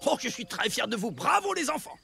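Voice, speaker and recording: Kindly cartoon cave-village grown-up, gravelly and animated, full of approving pride. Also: mid-range voice, brisk. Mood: proud, pleased. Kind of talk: animated cartoon dub acting. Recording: loud music bed underneath.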